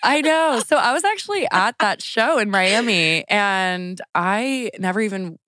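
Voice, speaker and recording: A woman hosting a podcast interview, chatting casually and reacting to her guest with quick affirmations. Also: mid-range voice, lively intonation, sharp tone. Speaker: podcast host.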